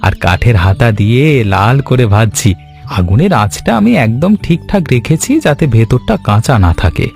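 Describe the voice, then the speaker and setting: A man's voice: mid-range voice, animated, normal speed, darkish tone, faint music behind, natural voice. A man voicing an agreeable, reassuring village friend in a Bengali cartoon story, speaking earnestly. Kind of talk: Bengali animated moral story dialogue.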